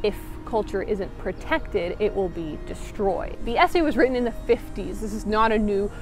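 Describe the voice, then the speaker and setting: A young woman in her twenties narrating a documentary to camera outdoors in an earnest, measured way, on a lapel mic. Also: mid-range voice. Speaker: documentary maker and interviewer. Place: outdoors by a stone wall. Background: background music.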